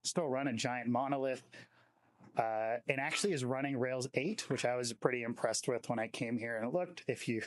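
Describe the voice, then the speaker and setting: A man's voice: medium pitch, dry recording. A man giving an informal welcome speech as a company representative, conversational and peppered with "like". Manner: conversational, frequent filler "like".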